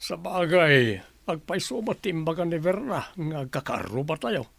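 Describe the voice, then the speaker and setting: A man playing an agreeable village husband in a radio drama, with a raspy voice and an Ilocano accent. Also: on the high side, sing-song, moderate pace, fairly bright tone, no room sound, full character voice. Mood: reflective. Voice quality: gravelly, raspy.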